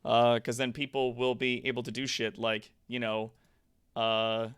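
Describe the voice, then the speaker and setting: A man's voice: medium pitch, measured pace, smooth, dry recording, clean studio sound. A man hosting a livestream, chatting casually with viewers and swearing freely. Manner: sweary, animated asides. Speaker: livestream host. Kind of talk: casual chat with stream viewers. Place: indoor home streaming room.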